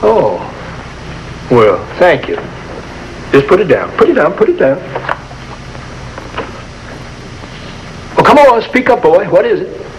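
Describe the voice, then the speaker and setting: An actor playing a gruff but kindly small-town American doctor, brusque and folksy like an uncle, in a 1950s television drama. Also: male voice, sits high, wildly swooping pitch, normal speed, heavily acted. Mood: brisk, impatient. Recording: noisy old 1950s television soundtrack.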